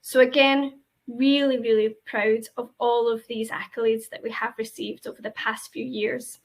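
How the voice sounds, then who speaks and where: A woman's voice: on the high side, little pitch movement, normal speed, creaky, a little hiss or hum. A woman with a Glaswegian accent giving an enthusiastic presentation to prospective students, with frequent fillers. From Scotland. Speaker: university representative.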